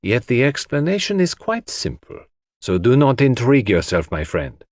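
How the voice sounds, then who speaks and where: A man voicing a calm, courteous detective who questions people precisely in an audiobook mystery. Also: medium pitch, moderate pace, no echo, studio quality, pitched above the performer's own voice. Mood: calm, dismissive.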